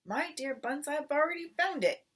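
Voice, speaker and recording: A woman's voice: high voice, expressive, moderate pace, fairly bright tone, pitched above the performer's own voice. A woman voicing a crafty, bossy male farmer in a storybook, commanding and emphatic, recorded at a distance. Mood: smug. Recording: voice distant from the mic.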